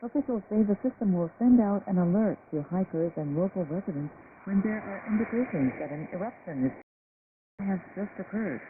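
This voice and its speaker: A woman reading scripted international news in a formal manner as a radio anchor. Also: on the low side. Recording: noisy, narrowband shortwave radio reception.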